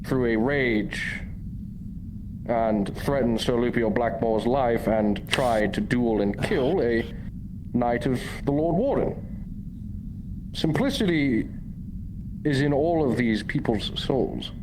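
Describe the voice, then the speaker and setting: A man's voice: mid-range voice, slow, long pauses, natural voice. Man playing a grave medieval preacher, noisy backdrop. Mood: solemn, preaching. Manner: sermonizing, formal. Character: grave war preacher called Crow in a medieval fantasy abbey. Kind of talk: improvised fantasy roleplay, archaic formal speech. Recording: noisy live online voice chat.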